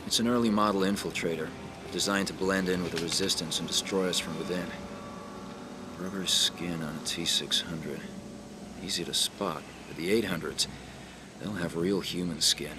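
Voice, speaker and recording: An actor playing a calm, determined resistance soldier leader in a video game, terse and matter-of-fact. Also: male voice, low-pitched, moderate pace, noisy background, close to an everyday voice. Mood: firm, explaining. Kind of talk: video game cutscene acting.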